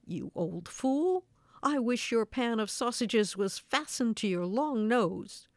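A woman voicing an excitable, scolding but soft-hearted little old wife in a storybook tale, with an exclamatory manner. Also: medium pitch, moderate pace, dry recording, studio quality, lightly acted. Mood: angry.

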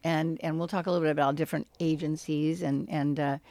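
Woman radio host with a lowish voice. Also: steady tone, fast talker, warm tone, no echo. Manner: encouraging, repeats words when starting sentences. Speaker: radio talk show host. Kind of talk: radio talk show interview.

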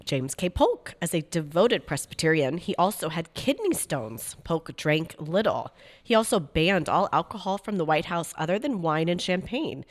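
A woman co-hosting a casual talk show, her voice husky from a cold, reading notes aloud and laughing often. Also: on the low side, big pitch swings, normal speed. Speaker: podcast co-host. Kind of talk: casual chat reading trivia.

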